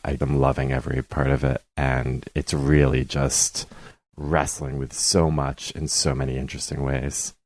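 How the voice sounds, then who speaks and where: A man's voice: a bass voice, little pitch movement, measured pace, a little mellow, no echo. A man speaking as a podcast guest, discussing books casually with hesitant, restarting phrasing.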